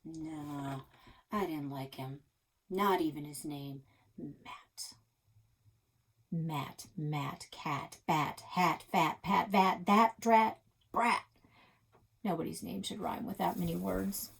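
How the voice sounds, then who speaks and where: A woman reads a children's novel aloud in a slightly low, husky voice. She narrates in the first person with expressive delivery, stretching out the words that matter. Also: slow pace, long pauses. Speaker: read-aloud reader for a public library.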